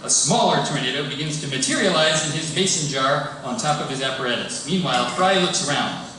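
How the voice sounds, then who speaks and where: Echoey, distant high-pitched man reading stage directions. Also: animated, brisk. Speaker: stage direction reader at a table read. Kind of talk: scripted narration read aloud. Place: live event stage, audience reacting. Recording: echoey hall from far off, loud noise.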